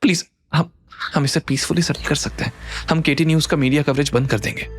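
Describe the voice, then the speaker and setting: A man's voice: on the high side, a little fast, lightly acted. A man voicing a panicked young female model in an audio drama, nervous, stammering and pleading. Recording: background music under the voice.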